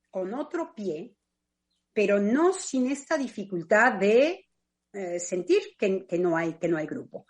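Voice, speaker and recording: Animated Mexican woman, reflective and personal. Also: fairly high pitch. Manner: thoughtful, personal, with frequent hesitations. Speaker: psychoanalyst on a radio panel. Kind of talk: reflective radio discussion.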